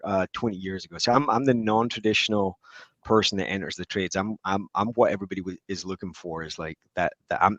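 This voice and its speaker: A man's voice: low-pitched, a bit bright, no room sound. A Scottish man in his forties, a podcast guest, chatting in an upbeat, rambling way with many restarts. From Scotland. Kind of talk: casual interview chat.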